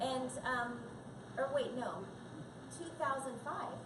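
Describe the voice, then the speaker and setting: A high-voiced woman talks earnestly and chattily as a panel guest, her words full of fillers. She sounds distant from the mic, and the room rings around her. Steady background noise from the shop sits under her voice. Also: takes it slowly, roomy sound. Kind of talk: live panel talk. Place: coffee and comics shop event.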